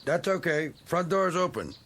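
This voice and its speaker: An actor playing a gruff, bitter middle-aged American war veteran in a radio drama, with muttered, clipped phrases. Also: male voice, a little high, expressive, moderate pace, a bit bright, a little hiss or hum. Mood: wary, gruff.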